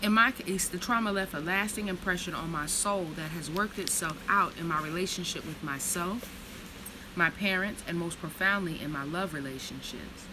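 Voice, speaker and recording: A woman in her thirties reads slowly and reflectively from a book, then slips into blunt, profane, casual storytelling. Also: on the low side, noisy background. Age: 30s. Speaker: vlogger reading from a book.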